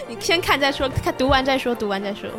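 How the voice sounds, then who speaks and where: A woman's voice: sits high, lively intonation, fast, music in the background. A woman with a Taiwanese Mandarin accent chatting casually as a podcast co-host. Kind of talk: casual chat.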